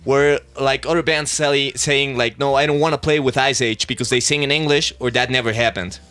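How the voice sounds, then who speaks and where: A man's voice: mid-range voice, fairly even intonation, fairly quick, fairly bright tone, clear, resonant tone. A man hosting a radio interview, asking casual, blunt questions and swearing freely. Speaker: radio show host.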